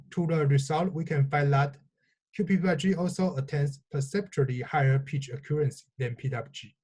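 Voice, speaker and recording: A man delivers a scripted technical research presentation. His voice sits a little high for a man, yet it is soft-edged and mellow, with a husky grain. He reads in halting phrases.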